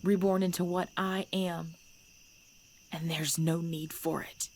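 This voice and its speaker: A woman playing an ancient, composed vampire in a supernatural ASMR drama, speaking in measured, formal, deliberate phrases. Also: on the low side. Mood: solemn, wistful. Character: ancient female vampire, composed, knowing, darkly playful. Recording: steady background noise with chirping crickets.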